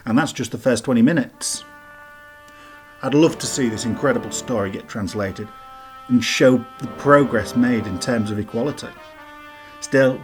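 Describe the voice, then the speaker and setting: A man giving informal scripted narration as the host of a countdown list video. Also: mid-range voice. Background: background music.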